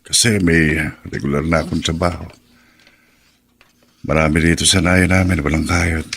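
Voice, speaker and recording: A man's voice: extremely low pitch, little pitch movement, moderate pace, creaky, a bit bright, breathy edge, full character voice. An actor playing a cheerful, doting working-class father with a Filipino accent in a radio drama. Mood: musing.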